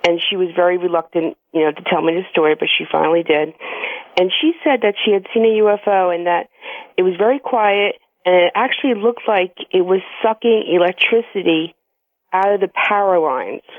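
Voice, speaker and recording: A woman's voice: on the low side, a little fast, no room sound. An American woman calling in to a radio show, chatting casually and giggly. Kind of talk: casual chat. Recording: tinny phone line on radio.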